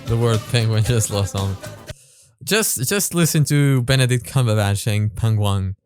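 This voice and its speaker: A man hosting a reaction video, commenting casually in a halting way that often backtracks, with music behind him. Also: fairly low pitch, lively intonation, darkish tone. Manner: halting, repeats words and backtracks.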